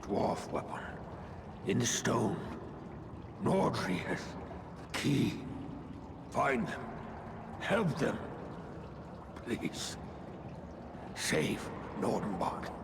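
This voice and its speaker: A man voicing a dying dwarf warrior in a video game, desperate and defiant, gasping out halting, gravelly words. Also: mid-range voice, sing-song, exaggerated voice. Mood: desperate pleading. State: gasping, mortally wounded. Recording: noisy game cutscene audio with ambience.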